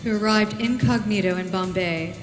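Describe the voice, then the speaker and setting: Woman reader, storytelling tone, loud music, hall reverb. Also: mid-range voice, normal speed. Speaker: guest reader. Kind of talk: reading a book excerpt.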